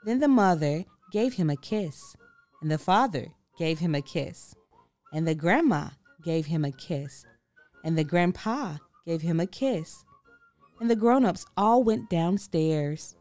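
A woman reading a children's story aloud expressively, with theatrical, deliberate emphasis. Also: on the low side, lively intonation, a little mellow, no room sound, faint music behind. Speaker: storybook reader.

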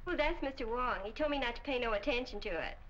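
A chatty switchboard operator played by a woman in an old movie, offhand and unpolished. Her voice is high, on a dull, faintly hissy 1940s soundtrack. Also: quick pace, a bit bright, lightly acted. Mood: chirpy, offhand. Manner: casual working-class grammar, offhand. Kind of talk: 1940 Hollywood crime film acting. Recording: band-limited, hissy 1940 film soundtrack.

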